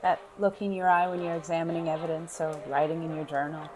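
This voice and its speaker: An actor playing a suave, affectionate gentleman criminal in a noir audio drama, speaking in formal, droll, flirtatious phrases. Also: mid-range voice, fairly even intonation, quick pace, music in the background, lightly acted, rough recording. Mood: tender, teasing.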